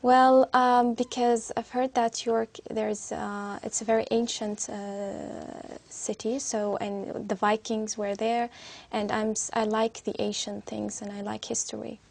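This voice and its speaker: Young Syrian woman, gravelly creak, flat pitch. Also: measured pace. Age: young adult. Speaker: student being interviewed as a speaking test candidate. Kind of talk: spoken exam answers.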